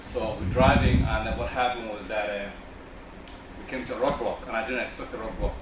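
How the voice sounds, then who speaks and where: A man from Zambia telling a personal anecdote to a group in measured, short phrases. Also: lowish voice, fairly even intonation. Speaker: workshop participant. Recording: distant, echoey room with noise.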